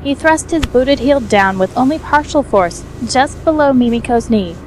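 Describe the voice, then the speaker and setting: Female narrator for a fan fiction audiobook: higher-pitched voice, scripted storytelling, slight background noise. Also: animated, normal speed.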